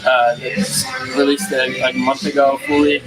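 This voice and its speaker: A man's voice: mid-range voice, little pitch movement, moderate pace, loud music behind the voice, poor audio. A young man in his twenties from the DC area, a musician guest chatting casually on a podcast with lots of "like" fillers. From Washington DC area, USA. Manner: laid-back, many "like" fillers. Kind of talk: casual interview chat.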